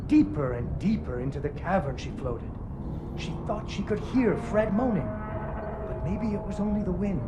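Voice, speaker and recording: A man's voice: sits high, expressive, moderate pace, background noise. An actor playing a patient father who improvises a fairy tale for his daughter in a video game, narrating dramatically with wry playfulness. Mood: suspenseful, wry. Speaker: storyteller.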